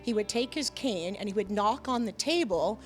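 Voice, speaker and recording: A middle-aged woman, a band's singer, chatting as a guest in a TV studio, talking in a lively way with many fillers and restarts. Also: mid-range voice, moderate pace, faint music behind. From Canada. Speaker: band vocalist, guest. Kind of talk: casual chat. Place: TV studio with audience.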